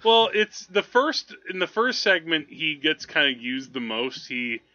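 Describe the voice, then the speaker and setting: A man co-hosting a movie podcast, talking casually in a halting, digressive, amused way. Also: a little high, very animated, pushed and bright, no echo. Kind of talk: casual film review chat. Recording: slightly dull, lo-fi podcast audio.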